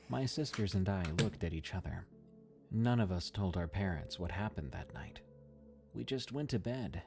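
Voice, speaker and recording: A man reading a first-person horror story in a measured, somber scripted narration. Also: low voice, gravelly, darkish tone.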